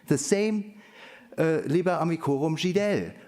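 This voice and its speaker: Higher-voiced man giving a scholarly talk. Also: measured pace, darkish tone. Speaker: academic conference speaker. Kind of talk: scholarly conference presentation.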